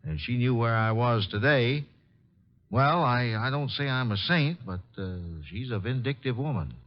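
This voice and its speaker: A man's voice: mid-range voice, moderate pace, natural voice. An actor playing an uneasy, defensive man with a past to hide, indignant and hesitant, in an old radio drama. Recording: dull old radio recording.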